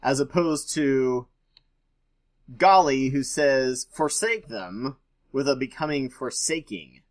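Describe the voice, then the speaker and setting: A man's voice: mid-range voice, slow pace. A man reading aloud and adding casual, skeptical and irreverent off-the-cuff commentary. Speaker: host reading and commenting on scripture.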